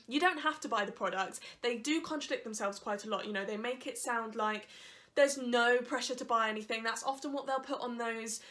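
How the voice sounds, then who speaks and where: A woman's voice: on the high side, a bit bright. A young woman with a congested nose from hay fever, chatting candidly to her camera at home. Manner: chatty, candid, laughs between points. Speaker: YouTuber. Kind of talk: casual vlog chat. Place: living room at home. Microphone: camera on a desk in front of her.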